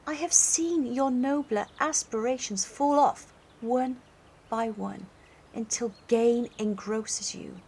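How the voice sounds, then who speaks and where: A woman's voice: fairly high pitch, slow, darkish tone, breathy edge, exaggerated voice. A woman playing a sorrowful, principled young sweetheart in an audio drama. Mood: sad reproach.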